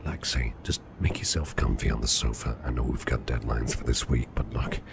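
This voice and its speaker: A man playing a kindly, protective businessman boss in an ASMR roleplay, speaking in a gentle, reassuring, gravelly murmur. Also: fairly quick. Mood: worried, soothing. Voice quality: very deep, gravelly, breathy murmur. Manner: gentle, reassuring, softly spoken. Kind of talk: ASMR personal attention roleplay. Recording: clear background noise and ambience.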